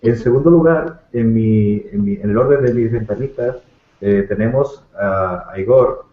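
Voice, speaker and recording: Hesitant host on tinny echoey line. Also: male voice, lowish voice, measured pace. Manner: hesitant, restarts phrases. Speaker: host, moderator. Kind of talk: moderating an online panel. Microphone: video call.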